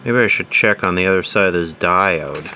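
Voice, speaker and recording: A man's voice: sits low, normal speed, pushed and bright, a little hiss or hum. A man giving casual troubleshooting commentary as he repairs electronics, musing aloud and asking himself questions. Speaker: electronics repair hobbyist presenter.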